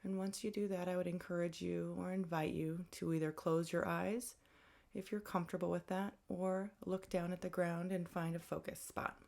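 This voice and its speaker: A woman guides a mindfulness exercise in a fairly low voice. Her tone is thick with creak and fry. She phrases instructions as gentle invitations, in a conversational way. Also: flat delivery, normal speed. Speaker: meditation guide, counselor.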